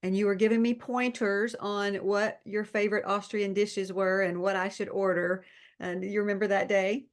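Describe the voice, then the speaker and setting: A woman's voice: medium pitch, little pitch movement, normal speed. A woman pastor hosting a faith podcast, chatting in an encouraging, upbeat way. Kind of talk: casual faith podcast chat.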